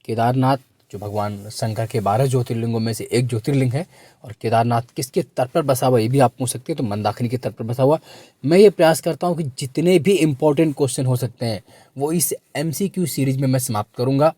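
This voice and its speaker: A male coaching teacher speaks with a hoarse voice and a dark, soft-edged tone. He runs through quiz questions, repeating them and urging students to answer. The recording is very dry, with almost no room sound. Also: mid-range voice, fairly quick, no room sound. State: hoarse, sore throat.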